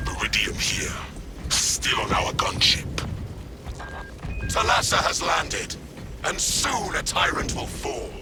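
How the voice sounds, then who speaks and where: Formal sci-fi war commander, clipped, slightly high voice, music really loud behind. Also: male voice, wildly swooping pitch, slow pace, pauses often, heavily acted. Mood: brisk, resolute. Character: formal military commander relaying orders in space war. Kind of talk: dramatic video game acting.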